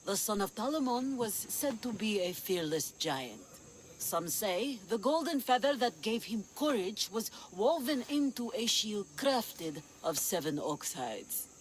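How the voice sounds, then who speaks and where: A woman's voice: medium pitch, sing-song, unhurried, slight background noise. A proud pirate chief who covets treasure in a video game. She speaks in an imperious, formal way, over faint insect-filled ambience. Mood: proud, acquisitive. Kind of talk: video game cutscene dialogue acting.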